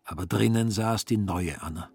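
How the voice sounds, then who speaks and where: A man's voice: lowish voice, normal speed, creaky, darkish tone, slightly rough, dry recording. A man narrating a literary radio drama in scripted prose. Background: music.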